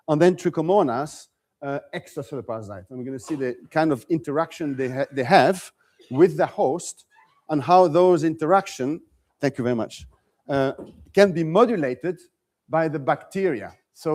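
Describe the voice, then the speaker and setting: Male visiting lecturer, slightly higher pitch, relaxed conversational seminar delivery. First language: French. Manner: conversational, frequent restarts and "okay?" checks.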